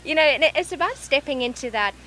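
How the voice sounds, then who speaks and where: A woman with a South African accent touched by English, a life coach speaking earnestly and softly in an interview, often repeating phrases. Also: sits high, lively intonation, fast talker, slight background noise. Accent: South African with some English. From born in Zimbabwe, raised in South Africa. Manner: earnest, soft-spoken, repeats phrases for emphasis. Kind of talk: reflective interview talk. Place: large event venue.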